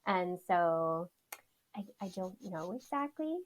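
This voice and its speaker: A woman's voice: animated, takes it slowly. A woman, a chatty podcast guest who laughs often. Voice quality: says her voice is high. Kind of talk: casual interview chat.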